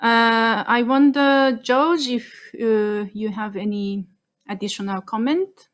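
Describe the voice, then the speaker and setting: Woman moderator, slow and careful, a bit high voice, on a video call. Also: fairly even intonation. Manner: measured, summarizing and handing over questions. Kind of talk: moderating a webinar panel.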